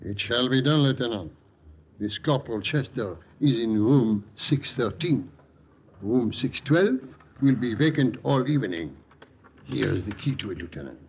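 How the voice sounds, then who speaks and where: A man's voice: medium pitch, lively intonation, slight background noise. A man playing a courteous, sombre old French resistance patriot with a French accent in a radio drama. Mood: discreet, conspiratorial. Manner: measured, deferential, slow. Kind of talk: 1940s-50s radio drama acting.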